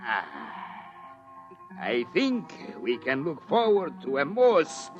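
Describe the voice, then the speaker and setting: An actor playing an excitable, opportunistic hotel manager in a 1950s radio drama. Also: male voice, on the high side, slow, full character voice. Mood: contented. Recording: dull vintage radio, faint music.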